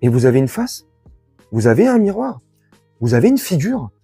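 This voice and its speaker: A man from France with a husky voice, giving an informal, crude and sarcastic opinion rant to his followers as an online commentator. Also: medium pitch, big pitch swings, normal speed, mellow, no room sound, soft background music. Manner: crude, slangy, sarcastic, indignant.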